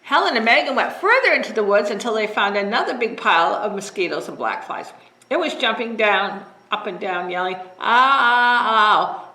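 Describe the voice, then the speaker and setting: A woman librarian reading a bedtime story aloud in a gentle, expressive way. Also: mid-range voice, brisk. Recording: roomy echo.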